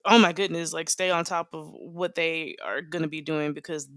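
A woman hosting a casual reaction video, expressive and full of exclamations, recorded indoors at home. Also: low-pitched, normal speed, dry recording, studio quality.

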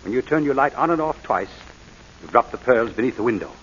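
A man playing a suave schemer with a butler's formal polish in an old radio play. He talks quickly with lively swings of pitch. The vintage recording is muffled and noisy. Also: natural voice. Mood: pressing, menacing. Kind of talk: 1940s radio acting.

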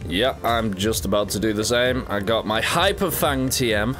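A man's voice: lowish voice, moderate pace, over music. Jokey man with a cold. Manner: jokey, laughs often. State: has a cold. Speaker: gaming channel host. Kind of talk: casual gameplay commentary.

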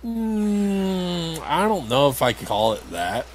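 A man's voice: a little high, sing-song, slow, slight background noise. A man giving casual, wry let's play commentary as he hosts a playthrough. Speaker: gamer hosting a playthrough.

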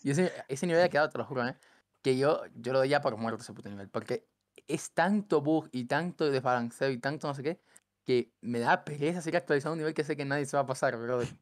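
A man's voice: medium pitch, fast, no echo, clean studio sound. A man born in Cuba, a guest on a casual podcast, rambling and dropping slang like loco and brother. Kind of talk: casual chat.

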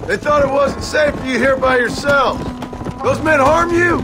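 An actor playing a gruff, weary outlaw turned rancher father, terse and dry, with an American Western drawl. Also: very high-pitched, moderate pace, music in the background. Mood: earnest, weary. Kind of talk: video game dialogue acting.

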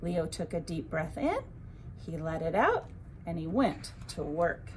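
A woman's voice: deep voice, very animated, unhurried, a little hiss or hum. A woman narrating a children's bedtime story expressively, as a librarian would at storytime.

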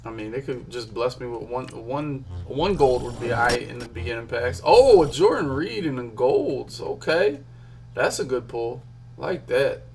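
A man's voice: mid-range voice, expressive, a little slow, darkish tone. Chatty young man hyping pack pulls. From Philadelphia. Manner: chatty, hyped, talks to chat while clicking. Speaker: gaming streamer. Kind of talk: casual pack-opening commentary. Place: small room at home.

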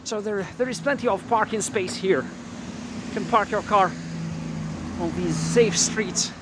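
A man's voice: high voice, unhurried. Man narrating a street walk, hesitant. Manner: hesitant, many fillers and restarts. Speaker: walking tour guide. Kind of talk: casual walking tour narration. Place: quiet residential street outdoors. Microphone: handheld camera mic while walking. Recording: clear outdoor street noise.

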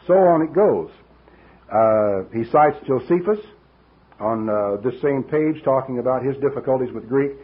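An older man preaching an expository sermon, teaching emphatically with rhetorical asides, in a husky voice. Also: medium pitch, expressive, moderate pace. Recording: dull, lo-fi radio-like recording.

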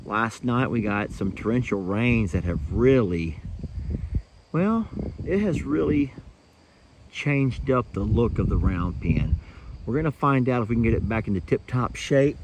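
A man's voice: fairly low pitch, lively intonation, unhurried, background noise. A man, chatting casually to his phone camera on a farm. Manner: easygoing, chatty, talks to his dogs. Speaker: vlogger. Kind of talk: casual farm vlog narration. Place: outdoors on a farm.

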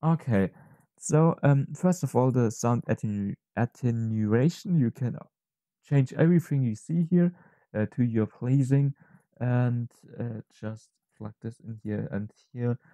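A man gives an unscripted screen-recorded tutorial at an unhurried pace. His voice is soft-edged and mellow, and he explains each step hesitantly, often halting to find the next words. The sound is close and dry. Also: mid-range voice, dry recording. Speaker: tutorial presenter.

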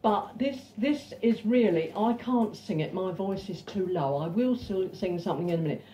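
An older woman from England with a self-described deep voice, chatting and reciting verse at a folk club in a pub. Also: animated, mellow, slight background noise. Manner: chatty, reads verse slowly and sings unaccompanied. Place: cosy pub back room. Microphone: stand mic, filmed from across the room. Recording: roomy, distant, dull pub recording.

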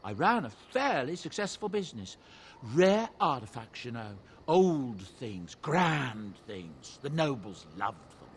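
An actor voicing a genial middle-aged dwarf merchant in a fantasy video game, talking like a theatrical, wistful storyteller. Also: male voice, medium pitch, sing-song, takes it slowly, a little hiss or hum. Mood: affable, nostalgic.

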